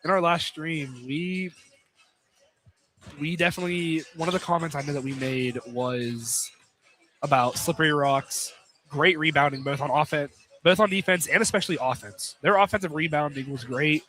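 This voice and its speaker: A man's voice: fairly high pitch, normal speed, sharp tone, slight background noise, rough recording. A young American man doing casual live sports commentary on radio, informal, often repeating and correcting himself. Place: live courtside broadcast in a college field house.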